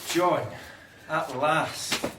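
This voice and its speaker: A man's voice: fairly high pitch, extremely slow. Businesslike Scottish policeman, noisy recording. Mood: collegial. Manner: matter-of-fact, collegial. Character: brisk, businesslike male police officer. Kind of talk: radio drama acting.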